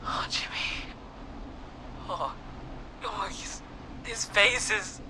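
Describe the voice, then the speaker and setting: A woman voicing a gutsy, nervy teenage girl who leads an escape plan in a video game, excitable and rallying. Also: mid-range voice, extremely slow, background noise. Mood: horrified. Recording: music behind the voice.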